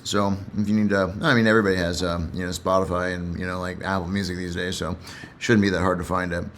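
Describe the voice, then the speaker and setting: A man's voice: low voice, flat delivery, quick pace, a bit bright, slight background noise. A man who reads and comments on poetry in a casual, reflective way, somewhat hesitant and repeating himself.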